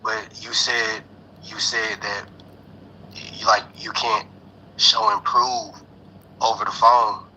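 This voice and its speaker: A calm man speaks slowly and in a measured way. His voice is low yet very bright in tone, with faint noise behind it. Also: rough recording.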